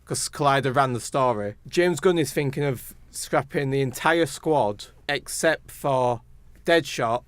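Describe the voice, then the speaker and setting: A man's voice: medium pitch, measured pace, clear, resonant tone. A man co-hosting a podcast, talking casually through film news. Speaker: podcast co-host.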